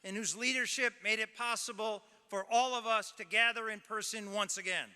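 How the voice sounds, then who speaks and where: Bright, high-voiced man giving a gala speech. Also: fairly even intonation, moderate pace, smooth. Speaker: nonprofit president and CEO. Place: large ballroom. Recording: hall reverb, faint crowd.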